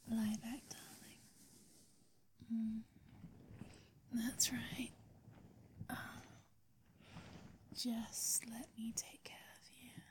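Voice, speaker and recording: A woman with an English accent speaking in a breathy whisper, sultry and teasing, in an intimate ASMR roleplay style. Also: on the high side, level tone, drawn-out words, pauses often, darkish tone.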